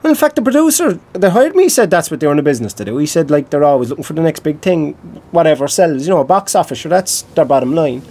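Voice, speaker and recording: An actor playing an earnest young film-maker from Cork with an Irish accent, hesitant and informal, tactful but probing in a naturalistic audio drama. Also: male voice, a little high, very animated, quick pace, few pauses, a little mellow. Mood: modest pride.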